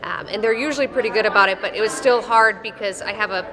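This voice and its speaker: A woman's voice: medium pitch, fast. A woman in her thirties from Wisconsin with a Midwestern accent and a slight Texas drawl, a wrestling official answering interview questions in a friendly, matter-of-fact way. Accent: Midwestern American with a slight Texas drawl. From Wisconsin, USA. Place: wrestling tournament arena. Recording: echoey arena with crowd noise.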